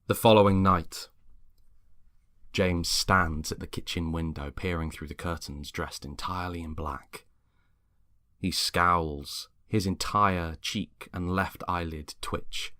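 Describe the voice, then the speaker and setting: A man's voice: low-pitched, fairly even intonation, slow pace, pushed and bright, no room sound, clean studio sound. A man reading a scripted story aloud as a dramatic narrator. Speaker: story reader.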